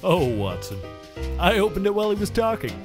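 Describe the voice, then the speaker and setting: Exasperated sentimental guy acted by a man, fairly high, expressive pitch, comedy radio play. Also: brisk, over music. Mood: casual, exasperated. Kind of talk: comedic audio drama acting.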